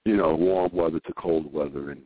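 A hoarse man calling in to a radio show, talking in an earnest, rambling way with many fillers. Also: extremely low pitch, fairly even intonation, fairly quick, dry recording. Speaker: caller. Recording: dry, tinny phone line.

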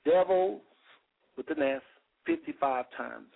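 Slightly raspy man preaching over radio. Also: high voice, huge pitch swings, measured pace. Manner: fervent, rhetorical repetition, reads scripture aloud. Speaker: radio show host. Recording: phone-like radio call-in sound.

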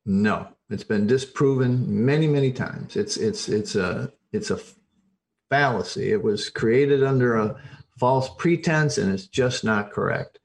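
A man's voice: mid-range voice, gravelly. A middle-aged or older man speaking as an expert podcast guest, explaining informally and emphatically, often restarting and repeating phrases. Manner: emphatic, halting and self-correcting, repeats phrases. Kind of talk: informal explanatory interview talk.

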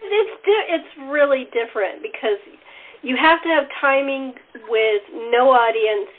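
A woman hosting a casual cast interview, speaking informally and haltingly as she rephrases her questions. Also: sits high, expressive. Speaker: interviewer and fellow cast member. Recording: tinny, phone-like narrow band.

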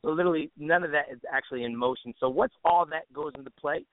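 A man's voice: fairly high pitch, quick pace, dry recording. A man being interviewed as a musician and DJ, chatting casually in a rambling, slangy way over a narrow, phone-like line.

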